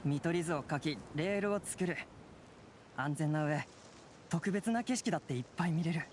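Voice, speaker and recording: A man's voice: on the high side, normal speed, a little hiss or hum, natural voice. A man playing an earnest, frail young brother who wants his independence, in a Japanese anime game. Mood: friendly.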